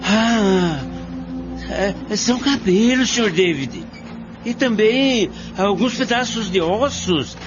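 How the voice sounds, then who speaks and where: An actor playing an excitable, respectful laborer in a radio drama, speaking in a halting, animated way. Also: male voice, high voice. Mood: startled, puzzled. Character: excited, respectful male laborer reporting grisly finds. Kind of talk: amateur radio drama acting. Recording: muffled recording with music behind.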